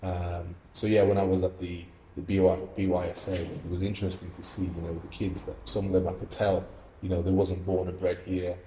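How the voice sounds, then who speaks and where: A man's voice: low-pitched, flat delivery, brisk, warm tone, echoey room, a little hiss or hum, low-quality recording. A young man from Russia, an amateur boxer and student, giving earnest, reflective interview answers with many fillers and restarts. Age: young adult.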